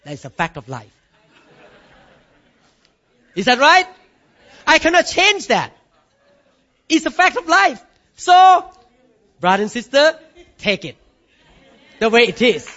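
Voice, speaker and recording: High-voiced Thai man preaching emphatically. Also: sing-song, slow, long pauses, sharp tone, dull audio. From Thailand. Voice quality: non-native grammar. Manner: emphatic preaching, voice rising and falling, calls of amen and hallelujah. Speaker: pastor. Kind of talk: sermon.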